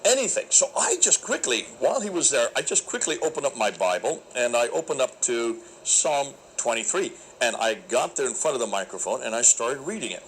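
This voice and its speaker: A man recounting a debate in an animated, emphatic, preacherly way, with a naturally loud voice. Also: medium pitch, brisk, few pauses, slight background noise, low-quality recording. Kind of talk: storytelling talk.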